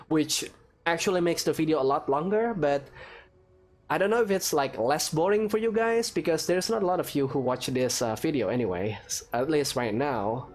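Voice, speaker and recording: A man giving casual playthrough commentary and review, informal and hesitant, often rephrasing as he goes. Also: on the high side. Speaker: gaming video creator.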